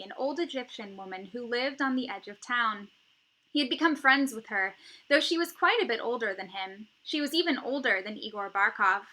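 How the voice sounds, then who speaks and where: A woman teacher reading a children's novel aloud, with expressive, emphatic phrasing and dramatic pauses. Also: on the high side, low-quality recording.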